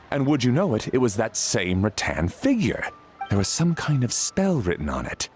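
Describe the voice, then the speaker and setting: An actor playing a polite, worried household butler in a fantasy video game, speaking in a deferential, formal and hesitant way. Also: male voice, fairly low pitch, slight background noise. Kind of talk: scripted video game dialogue.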